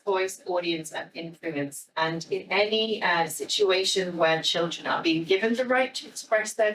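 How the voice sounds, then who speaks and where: A woman's voice: fairly low pitch, fairly bright tone. An Irish woman giving a live, explanatory presentation to an audience, speaking a little hesitantly. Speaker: theatre director giving a talk. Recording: echoey room, distant microphone.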